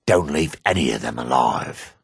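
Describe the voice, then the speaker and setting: A weathered dark elf fighter, with an extremely deep voice full of gravel. He is grim and measured, with menace in a lively, moving intonation. It is fantasy game dialogue, recorded dry and close. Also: dry recording, exaggerated voice. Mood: grave. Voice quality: gravelly, husky. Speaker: video game voice actor. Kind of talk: fantasy game character lines.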